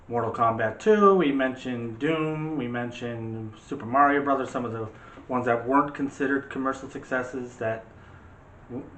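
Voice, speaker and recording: A man with a medium-pitched voice talks through his opinions as a show co-host, rambling and ready to argue a point. It is casual, conversational chat, recorded in an echoey room with faint noise behind him. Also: a bit bright. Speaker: co-host of a movie discussion show.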